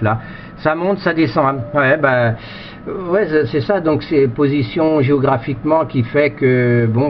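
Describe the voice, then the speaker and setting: A man of medium pitch talks casually in amateur radio jargon. He rambles, hesitates and doubles back on his phrases. The audio is dull and lo-fi, with a faint layer of noise behind him. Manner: hesitant, repetitive, rambling delivery. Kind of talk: casual radio contact chat with ham jargon.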